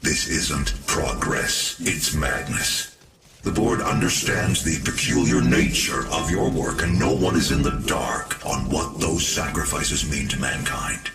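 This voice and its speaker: An imposing, gravelly cyborg chief for a video game. The voice is rock-bottom and faintly robotic, speaking in measured, formal commands. It sounds echoey and set back, with music loud behind it. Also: male voice, very deep voice, far from the mic. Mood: stern, reproachful. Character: towering cyborg corporate chief scientist, cold, authoritative. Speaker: head of a research corporation. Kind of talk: video game cutscene acting.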